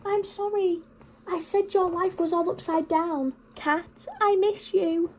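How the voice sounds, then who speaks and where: Woman acting stubborn storybook dog, very high pitch, slow drawn-out words, indignant, exaggerated. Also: fairly even intonation, darkish tone. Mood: sorry. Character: indignant, stubborn pet dog, soft-hearted.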